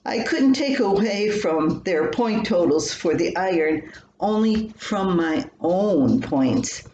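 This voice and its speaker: A woman's voice: lowish voice, lively intonation, slow pace, darkish tone, lo-fi sound. An older woman reading her own scripted personal story aloud. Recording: roomy echo, faint background noise.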